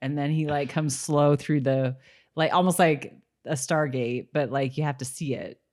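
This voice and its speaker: A woman's voice: deep voice, fairly even intonation, normal speed, a little mellow. A woman co-hosting a podcast, talking about a TV show in an informal, loosely phrased, conversational way. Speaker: podcast co-host. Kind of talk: casual review chat about a TV show.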